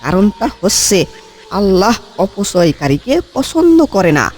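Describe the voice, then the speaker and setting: Smug cartoon cow thief, acted by a man: high, lively voice, argumentative and falsely pious, over background noise. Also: measured pace. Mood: pious self-justifying. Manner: argumentative, mock-earnest reasoning. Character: brazen cattle thief, smugly self-justifying and pious-sounding. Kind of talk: comic cartoon dialogue.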